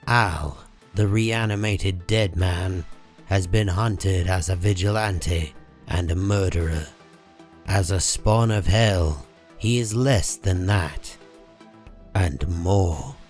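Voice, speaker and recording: A man reading scripted dramatic comic book narration in a measured, solemn manner. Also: sits low, darkish tone. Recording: music behind the voice.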